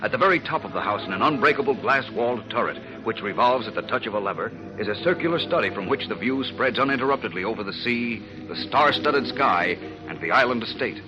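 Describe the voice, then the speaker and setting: A man's voice: mid-range voice, lively intonation, fast, muffled recording, over music. A man narrating a 1940s radio serial in a dramatic, portentous announcer's manner.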